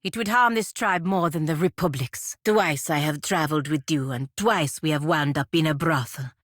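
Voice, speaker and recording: Dutiful, disapproving female knight-diplomat, fantasy RPG. Deep register, formal measured delivery, dry studio sound. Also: no echo. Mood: cool, disapproving. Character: proud, stern, dutiful female paladin envoy of a merchant republic. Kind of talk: scripted fantasy video game dialogue.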